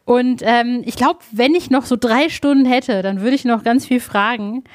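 A woman's voice: fairly high pitch, moderate pace. Effusive, informal woman podcast host. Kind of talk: casual chat, podcast presenting.

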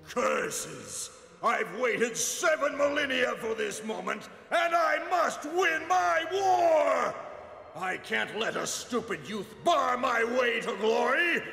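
Gravelly ancient demon, echoing. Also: male voice, very high-pitched, expressive, sharp tone, raised voice, exaggerated voice. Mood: furious, commanding. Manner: bombastic, commanding, drawn-out delivery. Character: ancient male demon, wrathful, power-hungry and imperious. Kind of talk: cartoon villain acting. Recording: cavernous echoing hall, music behind.

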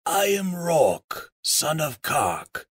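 A man voicing a decrepit, wise old raven chief in a storybook, croaking out solemn, formal counsel. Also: fairly high pitch, big pitch swings, fairly bright tone, dry recording, clean studio sound, voice pushed up, exaggerated voice. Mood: solemn, feeble. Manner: slow, formal, archaic counsel.

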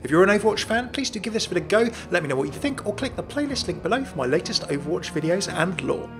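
A man's voice: high voice, big pitch swings, fast, music in the background. A young man hosting and narrating a scripted fan video in a chatty, jokey way.

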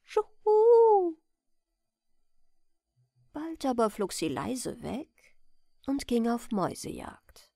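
A woman narrates a children's bedtime story. Her voice is fairly high and moves expressively, yet she takes her time. The sound is close and dry. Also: sing-song, unhurried, vocal fry, darkish tone, no echo. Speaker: storyteller reading a fairy tale.

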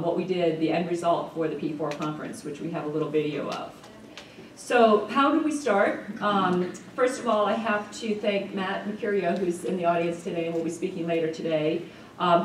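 A woman's voice: on the low side, a little mellow, slight background noise. Woman presenting in an echoey hall. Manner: explanatory, frequent ums and uhs. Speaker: keynote speaker. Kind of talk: conference presentation. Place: large conference hall, at a podium. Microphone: podium mic, recorded from the audience. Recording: roomy hall audio from far off.

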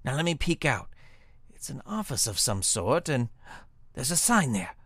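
An actor playing an earnest, plucky young amateur detective in a comic radio mystery, speaking emphatically. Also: male voice, medium pitch, big pitch swings, normal speed, a bit bright, husky, dry recording, exaggerated voice. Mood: hushed investigating.

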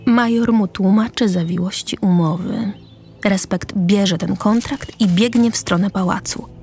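A woman's voice: medium pitch, measured pace, mellow, soft background music. A woman narrator reading scripted stage directions for a radio drama.

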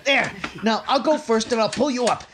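An actor playing a bumbling, stammering young man bluffing his way through a date in 1950s radio comedy, on a noisy recording. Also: very high-pitched. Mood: bluffing, urgent. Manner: stammering, hurried, falsely confident.

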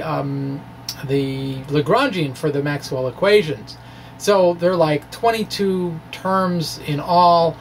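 Slow-talking man teaching, faint background noise. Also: a little high. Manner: playful, wry dramatic delivery. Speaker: physics presenter. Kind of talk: video lecture, part scripted reading, part informal explaining. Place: small indoor room with bookshelves. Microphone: microphone on a stand close in front.

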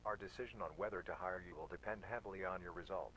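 A man voicing a cold, formal male examiner in a horror game, speaking in even, clipped phrases. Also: low-pitched, fast talker, low-quality recording.

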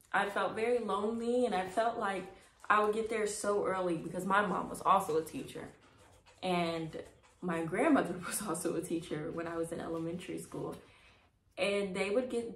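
A young adult woman reading a children's storybook aloud with casual asides. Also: mid-range voice. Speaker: storybook reader, host. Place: small indoor room. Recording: echoey room.

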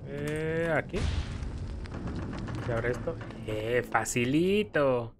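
A man's voice: medium pitch, lively intonation, extremely slow, background noise. A Mexican man giving casual, rambling gameplay commentary in slangy, playfully profane speech. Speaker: video game streamer. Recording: game music behind the voice. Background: video game music.

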